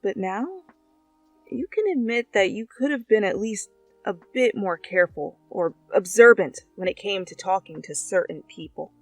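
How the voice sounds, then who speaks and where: A woman's voice: medium pitch, lively intonation, moderate pace, dry recording. A woman reading scripted second-person fiction as a narrator, close to the microphone. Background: soft background music.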